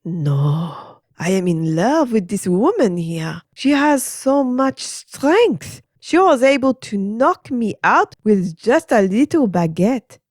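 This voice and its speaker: A woman's voice: on the high side, very animated, a little mellow, no room sound, clean studio sound, voice pushed up, exaggerated voice. A woman doing a swooning French teacher. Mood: lovestruck. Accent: put-on French. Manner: slow, swooning, pleading. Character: lovestruck silly French man teacher.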